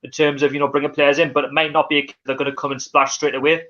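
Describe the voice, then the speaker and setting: A man's voice: fairly high pitch, little pitch movement, very fast, sharp tone, dull audio. A man with a Geordie accent hosting a casual live stream, reading out viewer comments and reacting with lots of fillers. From North East England. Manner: reads viewer comments aloud and reacts, chatty with many fillers. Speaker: livestream host.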